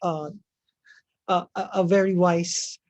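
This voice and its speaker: A man's voice: high-pitched, fairly even intonation, slow, a little mellow, dry recording. A man from the Philippines, politely moderating an online Q&A over a video call. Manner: polite, hesitant. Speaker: event moderator. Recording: dull lo-fi video call audio.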